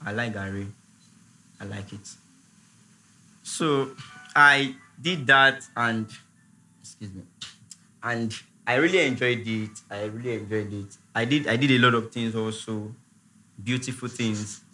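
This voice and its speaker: A man, chatting casually as a guest on a talk show, relaxed and repeating his words. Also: medium pitch, measured pace, long pauses. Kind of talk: casual chat.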